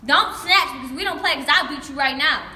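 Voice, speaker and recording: Woman as bossy teenage mean girl, jealous, slangy, confrontational, highish voice, echoey noisy stage. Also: brisk, bright, forceful tone. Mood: menacing. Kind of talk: stage play acting, slangy dialogue. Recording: echoey stage, clear background noise.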